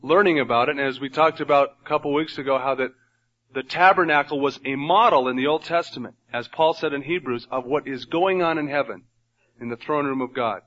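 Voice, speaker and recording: A man's voice: mid-range voice, brisk, fairly bright tone, lo-fi sound. A man with a nasal voice leading a Bible study in a church room, praying and then teaching in an earnest, conversational way. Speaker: pastor. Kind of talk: Bible teaching sermon with prayer.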